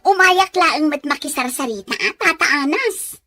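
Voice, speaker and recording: A lazy village busybody acted by a woman with an Ilocano accent and an extremely high voice. She needles and whines in big melodic swings. The sound is close and dry, as in an audio serial. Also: lively intonation, unhurried, bright, forceful tone, no echo, heavily acted. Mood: indignant, complaining. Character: nosy, lazy gossiping village neighbour woman, easily offended. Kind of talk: Filipino audio drama dialogue.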